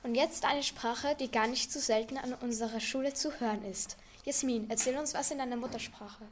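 A woman's voice: fairly high pitch, moderate pace, crowd noise. A young teenage girl hosting a language quiz for a school radio report, with a teasing quiz-host delivery. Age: young teen. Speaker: student quiz presenter.

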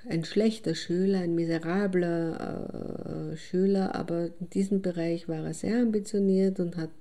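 A woman playing an older Austrian who reminisces in an audio drama, halting and thoughtful as she searches for words. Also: lowish voice, steady tone, dark tone, lightly acted. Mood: fond, reflective. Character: reflective older woman fondly recalling a childhood friend.

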